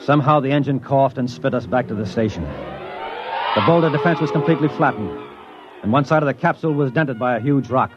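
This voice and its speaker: A man's voice: mid-range voice, lively intonation, fairly quick, lightly acted. Guy acting old 50s radio space pilot, terse and resolute, music underneath, kinda muffled sound. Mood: grim, measured narration. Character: steady, resolute male pilot narrating a stormbound planetary ordeal. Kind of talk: 1950s radio drama acting and first-person narration. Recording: dull 1950s radio recording, music behind.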